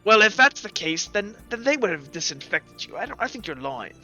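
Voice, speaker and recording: A man voicing a sly, skeptical gambler in a tabletop game, with a teasing, halting delivery. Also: high voice, very animated, sharp tone, faint music behind, exaggerated voice. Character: sly, skeptical bachelor gambler haggling over dice.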